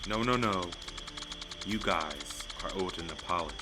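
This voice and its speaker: An actor playing an earnest, guarded superhero scientist, measured and direct, in an audio drama. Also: male voice, deep voice, animated, loud music behind the voice. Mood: weary.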